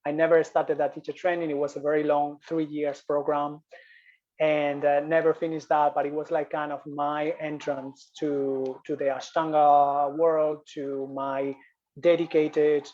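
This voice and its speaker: A man's voice: a little high, level tone, a little slow, darkish tone, lo-fi sound. A man from Spain with a Spanish accent, a yoga business coach telling his story as a guest over a video call, often repeating and restarting phrases.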